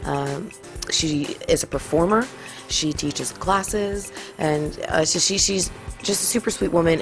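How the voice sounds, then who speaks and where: Woman host, slightly low voice, bubbly and giggly, casual podcast chat with lots of ums. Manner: bubbly, laughs often, many fillers.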